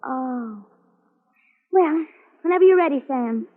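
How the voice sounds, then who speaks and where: A woman's voice: sits high, animated, slow pace. An actress playing a naive, adoring American secretary in a 1940s radio comedy-mystery, girlish and wide-eyed. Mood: puzzled, naive. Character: naive, breathless, adoring young female secretary of a detective. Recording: thin, tinny 1940s radio broadcast.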